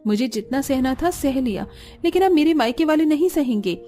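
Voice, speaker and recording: Lady playing a put-upon cartoon daughter-in-law standing her ground, highish mellow voice. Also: animated, a little fast, soft background music. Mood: hurt, defiant. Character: long-suffering young daughter-in-law standing up for herself.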